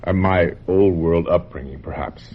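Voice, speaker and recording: An actor playing a manipulative, domineering older film director in a radio drama, speaking theatrically and insistently with deliberate, condescending emphasis. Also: male voice, low-pitched. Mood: pressing, patronizing. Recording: dull, noisy old radio drama recording.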